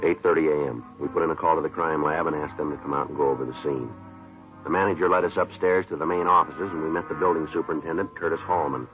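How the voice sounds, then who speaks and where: An actor playing a terse police detective sergeant in a clipped, matter-of-fact manner for an old radio drama. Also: male voice, very deep voice, fairly even intonation, fast talker, over music. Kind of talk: 1950s radio drama, narration and dialogue. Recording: muffled, noisy old radio recording.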